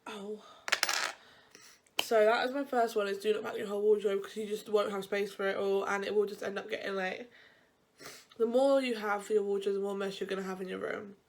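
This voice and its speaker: A woman's voice: medium pitch, steady tone, fairly quick, a little mellow. Young woman student vlogger, stuffy nose, loose chatty delivery that wanders and restarts mid-sentence. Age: young adult. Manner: rambling, chatty, frequent self-corrections. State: blocked-up nose. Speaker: university vlogger. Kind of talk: casual advice vlog.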